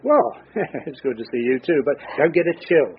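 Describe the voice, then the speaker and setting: An actor playing a fond, fussing older father in a radio drama, with a creaky voice. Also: a little high, big pitch swings, moderate pace. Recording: tinny band-limited sound, faint noise.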